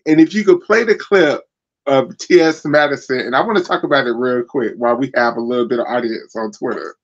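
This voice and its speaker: A man's voice: medium pitch, fairly quick. A male podcast host talking casually and upbeat, with loose phrasing full of fillers. His audio sounds slightly dull and muffled.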